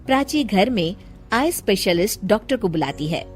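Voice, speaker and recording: A woman doing scripted storybook narration for a cartoon, with an even storytelling cadence. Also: mid-range voice, normal speed, husky, soft background music.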